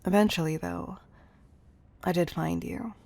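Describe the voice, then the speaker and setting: A woman playing a wealthy, obsessive CEO in an intimate audio roleplay, speaking in a breathy, gravelly murmur that is affectionate and confessional. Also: lowish voice, fairly even intonation, measured pace, darkish tone, no room sound. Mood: confiding, possessive. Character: wealthy obsessive female CEO, tender yet controlling. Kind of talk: intimate audio roleplay monologue, partly whispered.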